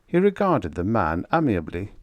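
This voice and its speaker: A man narrates a story for an audiobook. His voice sits fairly low and moves through a wide, animated range. The sound is dry, free of room echo. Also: moderate pace.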